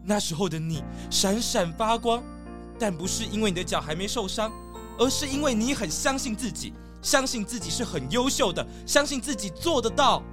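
Woman as encouraging young animal runner, mid-pitched. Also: animated, moderate pace, music in the background, lightly acted. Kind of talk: children's audio drama acting.

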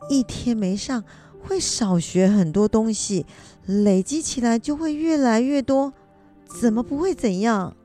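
A woman acts as an old grandmother who loves her studies. She is modest yet eager and talks in a chatty, earnest way. Her tone is mellow, with light music around this children's radio play. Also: a little high, a little slow, natural voice. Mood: insistent. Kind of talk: children's educational radio drama acting.

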